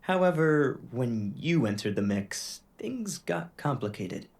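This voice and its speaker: Man as a young male agent, polite, reassuring, audio drama dialogue, swinging expressive pitch. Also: slow pace. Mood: calm, reassuring.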